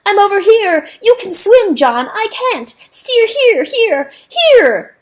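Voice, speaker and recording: A woman's voice: extremely high pitch, big pitch swings, takes it slowly, voice pushed up, exaggerated voice. A woman voicing a proud, desperate male rooster who screams and pleads. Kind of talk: audiobook character voice.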